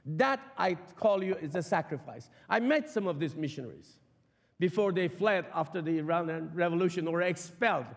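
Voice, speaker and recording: A man's voice: high-pitched, animated, moderate pace. A middle-aged man from Iran whose first language is Persian, preaching a sermon in an impassioned, emphatic way and leaning hard on weighty words. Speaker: guest preacher. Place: large church sanctuary stage. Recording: long reverb of a church hall.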